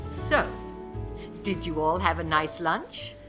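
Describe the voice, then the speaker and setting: A woman acting an indulgent elementary school teacher addressing her class in a radio play, in a measured manner. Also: low voice, expressive, music in the background.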